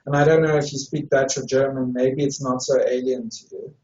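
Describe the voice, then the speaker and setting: A man's voice: mid-range voice, fairly even intonation, a little fast, a little mellow. South African man, echoey and distant. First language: Afrikaans. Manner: thoughtful, explanatory, many restarts. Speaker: language tutor. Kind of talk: interview answers. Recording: distant, roomy, dull sound.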